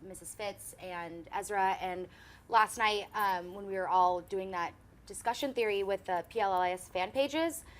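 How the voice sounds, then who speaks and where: A woman's voice: medium pitch, moderate pace. A young woman in her twenties hosting a casual fan chat show, chatty and giggly, with lots of "um" and "like". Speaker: host.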